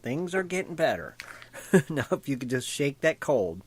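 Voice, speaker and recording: A man with an American accent hosting a casual radio show, easygoing and quick to laugh, with folksy phrasing. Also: mid-range voice, very animated, breathy edge. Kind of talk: casual chat. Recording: lo-fi online radio call.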